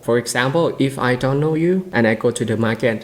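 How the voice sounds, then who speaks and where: A man's voice: medium pitch, rapid-fire. A man with a Thai accent co-hosting a podcast, chatting casually in simple non-native English. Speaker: podcast co-host. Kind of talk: casual chat.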